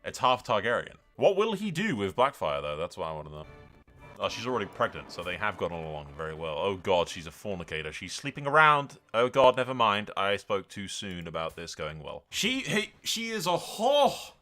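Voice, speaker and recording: Animated man doing casual game commentary. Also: lowish voice, a little fast, faint music behind. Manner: foul-mouthed, colloquial, jokey. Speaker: video game streamer narrating a playthrough. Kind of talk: casual, improvised game commentary with role-play storytelling.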